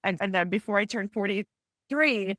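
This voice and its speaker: A woman in her early forties giving personal testimony on a panel, speaking candidly and haltingly, often circling back to repeat phrases. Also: mid-range voice, fairly bright tone, no echo, clean studio sound. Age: early 40s. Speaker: panelist, cancer patient and mother.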